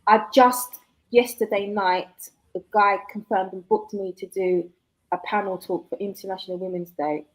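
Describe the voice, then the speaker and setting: A woman, a guest author talking casually in an interview, chatty and quick to laugh. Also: medium pitch, steady tone, low-quality recording. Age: 40s.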